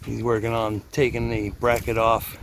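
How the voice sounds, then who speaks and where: A man's voice: mid-range voice, monotone, moderate pace. Casual man narrating outdoors over background noise. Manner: chuckles at his own remarks. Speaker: camera operator and helper narrating a vlog. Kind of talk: casual on-the-spot commentary. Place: outdoors at the foot of an antenna tower. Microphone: handheld camera. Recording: outdoor ambient noise on handheld camera.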